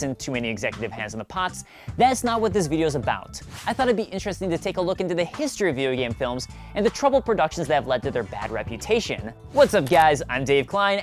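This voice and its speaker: A man hosting and narrating a scripted video essay in an emphatic, conversational presenter style. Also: fairly high pitch. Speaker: video host. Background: background music.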